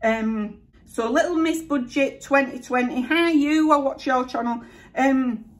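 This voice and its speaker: A woman's voice: high-pitched, measured pace. A middle-aged woman with a broad Yorkshire accent, chatting through viewer questions in a casual vlog, with lots of fillers and frequent laughter. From North East England, raised in Yorkshire. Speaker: vlogger answering viewer questions.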